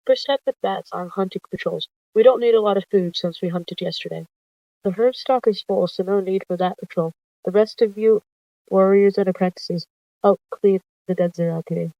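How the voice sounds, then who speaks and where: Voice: mid-range voice, moderate pace, no room sound, lo-fi sound, lightly acted. A storyteller voicing a chatty, businesslike male cat deputy who hands out patrols in a roleplay story.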